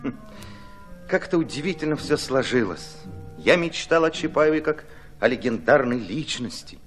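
A man's voice: mid-range voice, expressive, normal speed, a bit bright, faint music behind, close to an everyday voice. An actor playing an army commissar who narrates his war memories reflectively in a radio drama. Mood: wistful, musing. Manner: reflective, storytelling.

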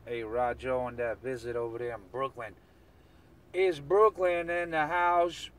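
A man's voice: medium pitch, fairly even intonation, unhurried. A man chatting casually in CB radio slang, often repeating his phrases. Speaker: CB radio operator. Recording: distant mic, noisy radio hiss.

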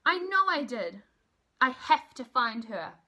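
A woman's voice: high-pitched, sing-song, takes it slowly, sharp tone, voice pushed up, heavily acted. A woman reading a storybook, voicing a small lost male baby bird, earnest and pleading. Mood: determined, upset.

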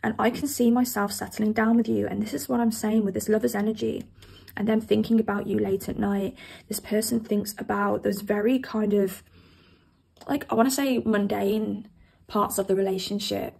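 A woman gives a chatty tarot reading. Her voice is croaky after a cold, with a gravelly, husky edge. She talks straight to her viewers. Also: mid-range voice, steady tone, moderate pace, a little mellow, rough recording. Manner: chatty, addresses viewers directly. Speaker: tarot reader. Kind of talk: pick-a-card tarot reading. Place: indoors at a table with cards and candles.

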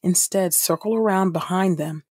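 A woman reading Bible passages aloud from a script in a measured manner. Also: on the low side, darkish tone, slightly rough, no echo, clean studio sound. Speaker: podcast host, scripture reader.

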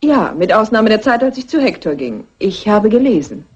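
A woman's voice: mid-range voice, sing-song, normal speed, breathy edge. An actress playing a cool upper-class married woman under suspicion in a dubbed classic crime film. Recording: muffled old film soundtrack.

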